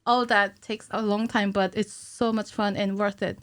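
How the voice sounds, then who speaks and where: A woman's voice: medium pitch, normal speed. A woman in her thirties explaining things casually as a podcast guest, with a giggly manner. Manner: giggly, animated hand gestures. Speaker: podcast guest, cinematic animator. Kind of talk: casual explanatory interview chat. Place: small indoor podcast studio with curtains. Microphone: studio mic on stand, headphones.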